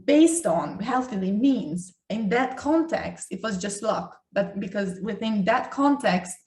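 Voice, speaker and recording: Echoey woman, deliberate and emphatic. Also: medium pitch, animated, a little mellow. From Ukraine. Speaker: doctor co-hosting a health talk. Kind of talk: conversational health talk to an online audience. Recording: echoey room, distant mic.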